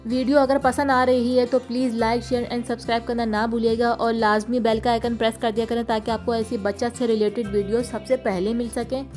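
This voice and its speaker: Flat-toned Pakistani woman vlogger. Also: a little high. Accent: Pakistani Urdu. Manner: steady, listing prices in a level, informative tone. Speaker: shopping vlogger. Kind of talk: vlog voice-over. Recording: voice-over with faint music behind.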